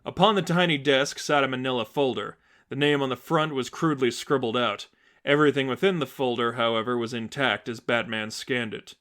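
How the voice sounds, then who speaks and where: A man's voice: mid-range voice, brisk, fairly bright tone. A man reading fan fiction aloud as a scripted story narrator.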